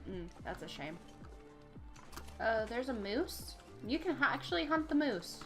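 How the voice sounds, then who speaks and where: A woman's voice: on the high side, very animated, unhurried, a bit bright, soft background music. Stuffed-up woman chatting over gameplay. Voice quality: slightly nasal. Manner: chatty, thinking aloud while playing. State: stuffed up from a cold. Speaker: let's play gamer. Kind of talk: casual gameplay commentary.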